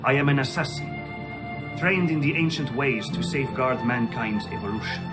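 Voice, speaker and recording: An actor playing a composed, cryptic assassin, speaking formally and solemnly in a video game. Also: male voice, medium pitch, normal speed, natural voice. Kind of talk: dramatic video game voice acting. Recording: loud music behind the voice.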